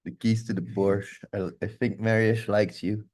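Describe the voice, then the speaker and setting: A man's voice: lowish voice, fairly even intonation, normal speed, darkish tone. A man with a stuffy nose giving a loose, rambling live walkthrough of a tech tool as the instructor, swearing now and then. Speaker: security instructor.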